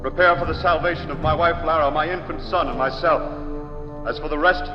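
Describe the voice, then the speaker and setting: An actor playing a grave, impassioned alien scientist who warns of doom in urgent, declamatory 1940s radio drama style. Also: male voice, on the high side, steady tone, brisk, natural voice. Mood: bitter, ominous. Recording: echoey hall on dull 1940s radio recording, music behind.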